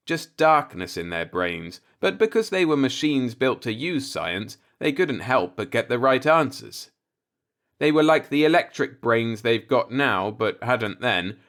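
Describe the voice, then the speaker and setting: A man's voice: medium pitch, fairly bright tone. A man voicing a cynical, musing older working-class man, a self-taught professor, in a folksy, rhetorical audiobook monologue.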